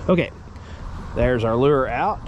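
A man's voice: mid-range voice, a little slow, slight background noise. Folksy young man fishing. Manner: folksy, rambling, excitable when fish bite. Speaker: fishing vlogger. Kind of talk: casual outdoor fishing vlog. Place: outdoors by a pond, later indoors at home.

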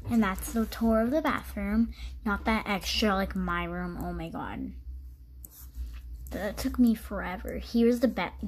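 A woman's voice: medium pitch, a little mellow. A teenage girl chatting casually as she shows a game house, rambling with restarts and fillers. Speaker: channel host.

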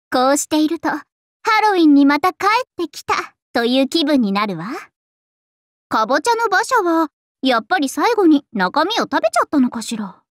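Super high girly anime game princess, haughty n bubbly, swoopy delivery, close dry sound. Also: sing-song, moderate pace, pauses often, no echo. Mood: playful, musing. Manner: haughty, theatrical, playful, self-admiring. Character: vain, bubbly young princess idol singer, self-styled Cinderella. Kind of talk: Japanese anime mobile game voice acting.

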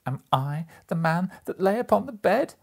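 A man's voice: high voice, lively intonation, moderate pace, vocal fry, husky, pitched above the performer's own voice, full character voice. A man voicing an anguished, repentant old miser who pleads dramatically with a spirit in a storybook reading. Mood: desperate pleading. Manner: dramatic, beseeching delivery.

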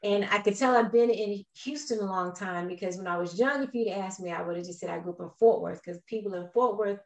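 A middle-aged woman with an American accent talks conversationally as an interview guest. Her voice sits at a medium pitch, and the room echoes clearly around it on the video call. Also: fairly quick. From Texas, United States. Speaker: guest, church minister. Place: indoors on a video call, virtual background.

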